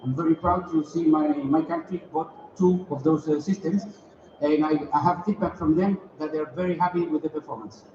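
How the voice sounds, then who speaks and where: A man's voice: fairly high pitch, steady tone, normal speed. Chilean man presenting from far in a hall. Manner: hesitant, repeats words while explaining slides. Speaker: sonar industry presenter. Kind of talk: conference presentation. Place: large conference hall. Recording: distant echoey hall, dull and noisy.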